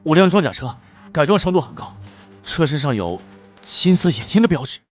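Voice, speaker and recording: A man voicing an earnest junior soldier in an animated drama, reporting to superiors with eager urgency. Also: a little high, fairly quick, soft background music. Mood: alert. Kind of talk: animated motion-comic drama dubbing.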